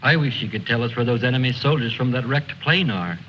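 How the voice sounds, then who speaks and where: A man's voice: mid-range voice, lively intonation, brisk, a little hiss or hum, close to an everyday voice. Man acting dutiful aide, muffled old recording. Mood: deferential. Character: dutiful junior military aide to a general. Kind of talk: old film military drama. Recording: dull old film soundtrack, music.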